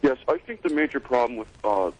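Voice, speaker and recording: A man giving a halting opinion as a caller on a telephone. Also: medium pitch, huge pitch swings, vocal fry, poor audio. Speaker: phone-in caller. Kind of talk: halting opinion on a phone call.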